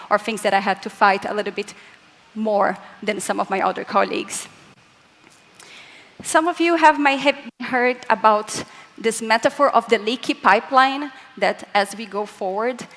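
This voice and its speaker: A young woman from Latin America gives a conference talk in English, which is not her first language, and her voice sits a little higher than most. She explains her points earnestly and speaks straight to the audience. A long reverb trails behind her from the large hall. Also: fairly bright tone. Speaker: physicist giving a conference talk. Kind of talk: conference presentation.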